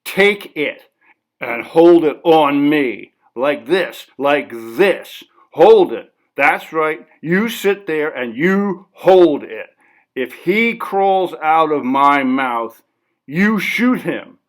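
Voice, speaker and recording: A man's voice: a little high, expressive, drawn-out words, voice pushed up. A man voicing an agitated, paranoid, foul-mouthed male artist in a dramatic short story reading, ranting and insistent. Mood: frantic, commanding.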